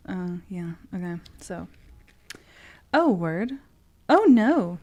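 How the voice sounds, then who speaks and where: A woman's voice: lowish voice, very animated, slow, dark tone. A woman giving tarot readings on a stream in a playful, casually profane way, moving between loose chat and reading aloud.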